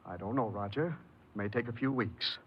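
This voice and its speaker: Husky, firm American parole official. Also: male voice, fairly low pitch. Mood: firm, reassuring. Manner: measured, reasoning, authoritative. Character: firm, patient parole official, Mister Swanson. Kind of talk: 1950s American radio drama acting. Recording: muffled old radio recording.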